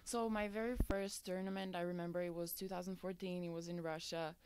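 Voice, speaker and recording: A young woman from Serbia with a Serbian accent, a student-athlete answering interview questions in a relaxed, hesitant way. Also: fairly low pitch, brisk, clear, resonant tone, dry recording. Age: young adult.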